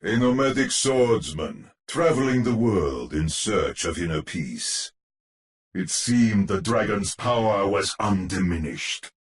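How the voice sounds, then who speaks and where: An actor playing a grave, ominous storyteller narrating a gothic horror tale for a video game, with solemn theatrical delivery. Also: male voice, mid-range voice, a bit bright, heavily acted.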